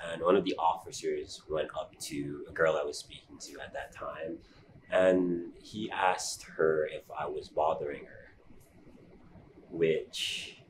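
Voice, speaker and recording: Very deep-voiced young man, subdued. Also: a little mellow, a little hiss or hum. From Hong Kong. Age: 20s. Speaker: interviewee. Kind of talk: sit-down interview answers.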